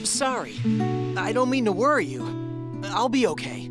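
An actor playing a cocky, carefree young hedgehog hero in a video game, with a breezy, confident delivery. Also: male voice, high voice, sing-song, a little slow. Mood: reassuring. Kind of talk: video game cutscene acting. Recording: loud music behind the voice.

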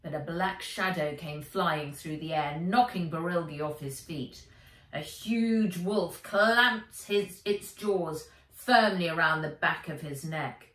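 A middle-aged woman reading an adventure story for children aloud, with expressive, dramatic, emphatic delivery. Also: sits low, slow pace, recorded from a distance. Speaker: author reading her own book aloud. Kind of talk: dramatic audiobook-style narration for children. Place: indoors, bright room with armchair. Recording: roomy, echoey, away from mic.

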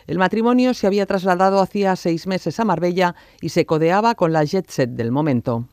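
A man's voice: high voice, fairly quick, darkish tone, clear, resonant tone, no room sound, clean studio sound. A man narrating a scripted true-crime documentary.

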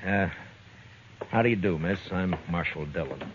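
An actor playing a tough, stern frontier marshal in a 1950s radio western, blunt, laconic and commanding. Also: male voice, deep voice, lively intonation, moderate pace. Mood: firm, questioning. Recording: muffled, noisy 1950s radio recording.

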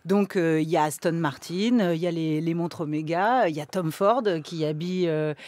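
A woman's voice: deep voice, brisk, darkish tone, no room sound. A woman in her thirties hosting a radio studio interview, talking in a chatty, conversational way with frequent fillers. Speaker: radio host. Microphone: studio mic with headphones.

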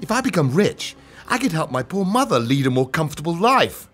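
A man's voice: a little high, very animated, brisk, fairly bright tone. A man voicing an earnest, slightly cheeky poor young boy in a children's story. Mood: eager, curious.

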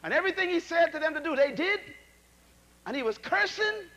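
A middle-aged man preaching a sermon to a large congregation in a church auditorium, animated, calling out to the crowd and swinging from loud to soft. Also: very high-pitched, brisk. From Bahamas. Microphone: handheld mic.

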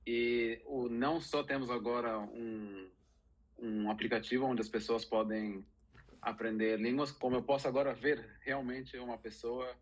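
A man's voice: medium pitch, steady tone, a little slow, poor audio. A Brazilian man in his thirties talking casually on a video call. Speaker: tech co-founder.

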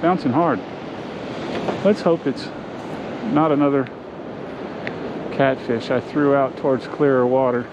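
A man's voice: mid-range voice, a little slow, long pauses. A man casually talking to himself while fishing from an ocean pier, recorded on a body-worn action camera. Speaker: fishing vlogger. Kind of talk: casual first-person commentary. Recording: muffled action-camera audio with wind and surf.

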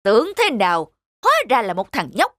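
A woman's voice: mid-range voice, huge pitch swings, a little fast, fairly bright tone, dry recording, studio quality. A woman doing a pompous old scholar bully. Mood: haughty. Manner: haughty, scolding. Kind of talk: cartoon voice acting. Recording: music playing under voice.

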